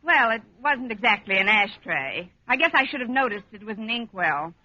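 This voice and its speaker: A woman playing a wry, quick-witted schoolteacher in a 1940s radio comedy, dry and wisecracking. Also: fairly high pitch, very animated. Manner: dry, wisecracking, crisply articulated. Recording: dull, noisy 1940s radio recording.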